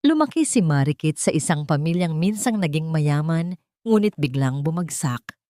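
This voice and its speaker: A woman's voice: deep voice, lively intonation, moderate pace, warm tone, no room sound, studio quality. A woman narrating a scripted dramatic audio story.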